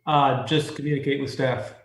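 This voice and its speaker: A man's voice: mid-range voice, fairly even intonation, moderate pace. A man chairing a formal public meeting, moderating discussion and calling for motions. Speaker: commission chairman. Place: remote meeting call. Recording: echoey remote meeting audio.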